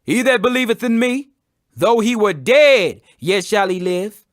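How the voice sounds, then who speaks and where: A man's voice: sits high, lively intonation, measured pace, no echo, studio quality, pitched above the performer's own voice. A man voicing a fervent, authoritative holy teacher with commanding declamation in a dramatized scripture reading.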